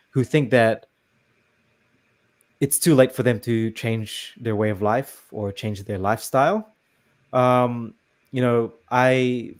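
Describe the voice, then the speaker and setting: A man hosting a casual interview, talking in a hesitant, agreeable way full of restarts. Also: fairly low pitch. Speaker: host.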